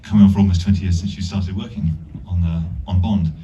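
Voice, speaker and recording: A man with a very dark, muffled tone puts questions to a guest at a live event in a conversational style. The recording is dull and he sounds set back from the microphone. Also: low-pitched, fairly even intonation, fast. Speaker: interviewer. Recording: dull, distant mic, faint noise.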